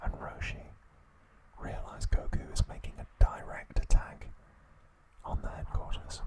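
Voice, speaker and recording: Whispering man, slow ASMR narration. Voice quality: breathy unvoiced whisper. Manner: slow, hushed, deliberate phrasing with pauses. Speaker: ASMR host.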